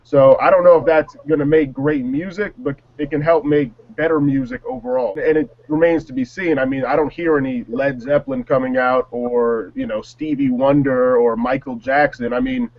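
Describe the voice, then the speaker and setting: A man in a casual panel discussion, talking in a measured, reflective way. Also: medium pitch, steady tone, lo-fi sound. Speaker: panelist on a music talk show.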